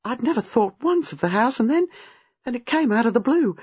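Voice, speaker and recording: A woman reading a storybook, playing a distraught young married woman who is frightened, halting and agitated. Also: medium pitch, very animated, breathy edge, no room sound, highs cut off, voice pushed up. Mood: nervous, agitated. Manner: agitated, halting, rushing in bursts.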